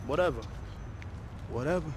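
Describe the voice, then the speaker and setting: Man playing high-voiced sarcastic young street dealer. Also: moderate pace, slight background noise, lightly acted. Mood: sarcastic, bitter. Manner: sarcastic, profane, streetwise slang. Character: bitter young male street dope dealer, envious and sarcastic. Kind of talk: video game cutscene acting.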